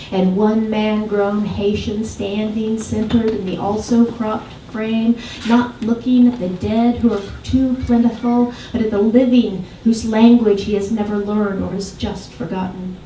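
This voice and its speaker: A woman recites poetry in a roomy, reverberant space, picked up from some distance. Her voice is soft-edged and mellow, with a deliberate, dramatic delivery that leans on each phrase. A faint layer of noise sits in the recording. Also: medium pitch. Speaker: poet. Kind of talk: poetry reading, literary recitation. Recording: echoey room, distant mic, faint noise.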